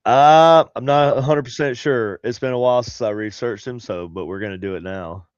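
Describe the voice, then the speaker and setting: A man's voice: mid-range voice, moderate pace, clean tone, dry recording, highs cut off. A man with a head cold and a nasal voice, co-hosting a paranormal talk show in casual chat, rambling with many fillers. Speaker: podcast co-host. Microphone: home desk setup on a video call.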